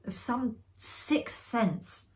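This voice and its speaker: A woman giving a measured, dramatic performed reading of a classic ghost story, with expressive pauses. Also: fairly high pitch, poor audio.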